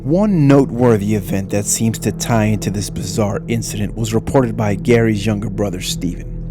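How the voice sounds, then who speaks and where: A man's voice: fairly low pitch, moderate pace, over music. A man reading scripted narration for a mystery documentary.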